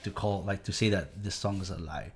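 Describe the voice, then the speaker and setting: A man's voice: deep voice, a little fast. A young man with a Singaporean English accent, a musician talking frankly and animatedly in a casual interview, with many restarts. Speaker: musician guest.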